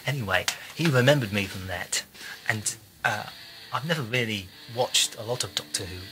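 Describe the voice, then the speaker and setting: A man reminiscing casually in an interview, in a halting, hesitant way. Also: lowish voice, animated, background noise. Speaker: audio drama writer and contributor. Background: music.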